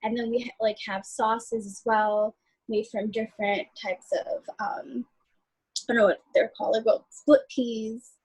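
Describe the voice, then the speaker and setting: A woman's voice: a little high, fairly even intonation, a little slow, a little mellow, slightly rough, rough recording. A young woman from Ethiopia, chatting as a guest over a video call in a friendly, loose way with many fillers. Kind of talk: casual video call chat.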